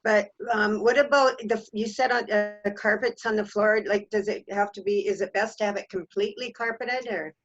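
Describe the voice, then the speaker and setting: A woman's voice: medium pitch, steady tone, moderate pace, hardly any pauses, soft background music. A woman taking part in an online class, casually and a little hesitantly asking a question. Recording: dull, muffled video-call audio.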